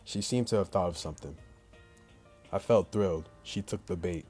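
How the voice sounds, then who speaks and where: A man with a very low voice reads a visual novel aloud as a gaming let's play. His commentary is slangy and joking, and game music plays behind him. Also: normal speed, mellow. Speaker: gaming YouTuber.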